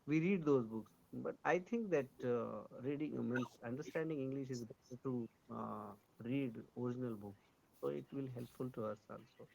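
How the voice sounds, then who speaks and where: A man's voice: medium pitch, moderate pace, vocal fry, a little mellow, slight background noise, rough recording. A man from India, a Kannada speaker with an Indian accent, talking English over a video call, hesitant with many fillers and restarts. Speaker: English learner. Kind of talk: casual conversation practice.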